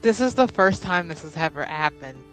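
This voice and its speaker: A woman's voice: fairly low pitch, expressive, normal speed, a bit bright, soft background music, low-quality recording. A woman playing in a tabletop game stream, introducing her character in sweary, casual chat. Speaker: player in an actual-play game.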